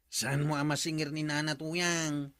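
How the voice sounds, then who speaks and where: A man's voice: a little high, little pitch movement, takes it slowly, bright, forceful tone. A man playing an inquisitive, teasing villager in a comedy audio drama, speaking with an Ilocano accent.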